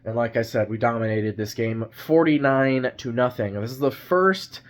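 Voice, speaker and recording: A young man talks through a game recap in a casual, rambling way, his voice stuffy from a cold. His pitch sits right in the middle for a man. He stumbles and restarts often, scattering fillers through his thoughts. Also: normal speed. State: congested, has a cold. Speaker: sports fan vlogger.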